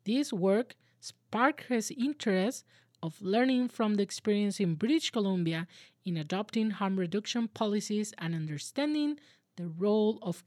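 A woman's voice: medium pitch, a little mellow, dry recording, clean studio sound. Young woman hosting a podcast interview. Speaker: podcast host and producer.

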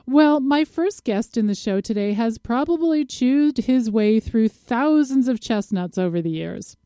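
A woman's voice: mid-range voice, mellow, smooth, dry recording, clean studio sound. A woman hosting a radio show, introducing topics and interviewing a guest.

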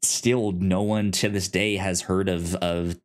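A man co-hosting a podcast, chatting casually about television in a halting, stammering way that often repeats words. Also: deep voice, flat delivery. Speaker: podcast host. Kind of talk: casual TV review chat.

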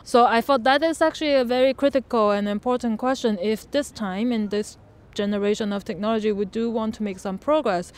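Woman with a clear, smooth voice. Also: mid-range voice. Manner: thoughtful, searching phrasing with fillers. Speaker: artist presenting her project. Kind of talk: panel presentation. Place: museum venue.

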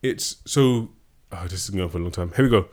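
A man's voice: sits low, darkish tone. A man co-hosting a podcast, teasing and prodding his co-host in casual chat. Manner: fast-talking, teasing, prodding.

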